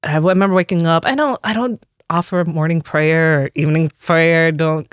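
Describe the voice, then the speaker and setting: A woman's voice: sits low, a little fast, clean tone, no echo, clean studio sound. Earnest, reflective woman in her forties. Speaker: religious sister being interviewed. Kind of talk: personal storytelling in an interview.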